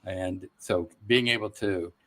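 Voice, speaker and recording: A man's voice: sits low, slow pace, sharp tone. Casual, conversational man telling stories. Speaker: guest voice actor. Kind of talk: casual interview storytelling.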